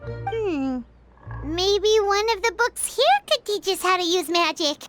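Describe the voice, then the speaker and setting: A woman voicing a tiny, chirpy, blunt fairy companion with a squeaky childlike voice in a video game. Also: unhurried. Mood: pondering. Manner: animated, exaggerated. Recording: music playing behind the voice.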